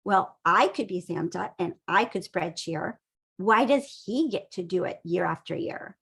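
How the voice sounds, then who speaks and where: A woman's voice: on the low side, a little slow, creaky, fairly bright tone, slightly rough, exaggerated voice. A woman voicing an eager, scheming male skeleton from a storybook, theatrical and grandly persuasive. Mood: envious longing.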